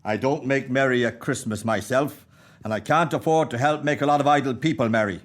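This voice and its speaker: A cold, penny-pinching old man, voiced by an Irish-accented actor, snaps out curt, dismissive replies. His voice sits at an ordinary middle pitch but carries a gruff edge throughout this radio play. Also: fairly quick, natural voice. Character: miserly, grumpy old male moneylender, cold and curt.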